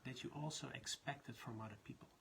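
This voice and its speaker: Dutch guy, bright voice, talks in loose restarts, bit of noise behind. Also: medium pitch, fast, vocal fry. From Netherlands. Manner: rambling, many restarts and laughs. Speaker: leadership trainer. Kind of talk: casual live talk.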